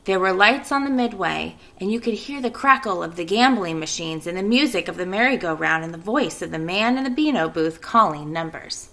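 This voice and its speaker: Bright, creaky-voiced woman teacher. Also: on the low side, animated, fairly quick, few pauses. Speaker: elementary school teacher. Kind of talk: children's read-aloud and casual class announcements.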